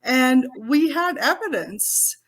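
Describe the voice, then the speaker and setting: A woman's voice: high-pitched, animated, takes it slowly, rough recording. An older woman from Canada whose first language is Italian, talking casually as a podcast guest over a video call, chatty and prone to restarts. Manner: chatty, laughs often, frequent restarts. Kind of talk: casual interview chat.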